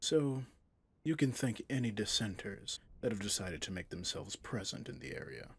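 An actor playing a possessive, manipulative villain prince in an ASMR roleplay drama, speaking softly in measured, courtly phrases with a breathy, husky voice. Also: male voice, fairly low pitch, animated, full character voice. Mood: coolly genteel.

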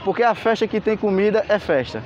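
An enthusiastic man with a Pernambuco Portuguese accent leads a walking tour through an outdoor market, talking in a fairly high voice. He keeps pointing out goods and urging viewers to support the channel. The handheld camera audio is dull, with clear street noise behind him. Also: expressive, a little fast. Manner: enthusiastic, asks for likes, points things out. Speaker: travel vlogger.

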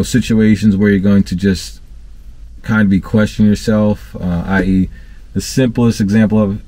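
A man in his thirties, an HVAC technician, giving casual training tips to camera from his work van, relaxed and conversational with many fillers and restarts. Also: sits low. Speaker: HVAC technician and channel host. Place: parked work van, seated in driver's cab.

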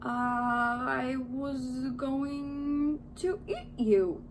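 A woman's voice: high-pitched, expressive, creaky, darkish tone, slight background noise, voice pushed up, full character voice, low-quality recording. A woman voicing a sheepish, flustered man in a children's storybook, hesitant and stammering. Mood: stunned, sheepish. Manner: hesitant, stammering, drawn-out words. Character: sheepish, flustered man who eats donuts.

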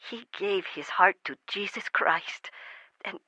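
A woman's voice: medium pitch, unhurried, pushed and bright, no echo. A woman playing a concerned, earnest mother of grown sons in a radio drama. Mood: earnest, relieved. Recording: slightly dull, dry radio recording.

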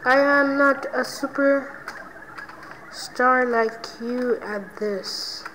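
A woman hosting her own gaming channel, giving let's play commentary and reacting loudly to each win and death. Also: sits high, very slow, slight background noise, poor audio.

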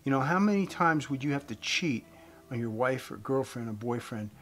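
A man in his fifties giving an earnest evangelistic talk to camera as a host, phrasing deliberately with emphasis. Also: mid-range voice, expressive, a bit bright. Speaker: host giving a faith message. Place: indoors, room with wooden beams. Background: guitar music.

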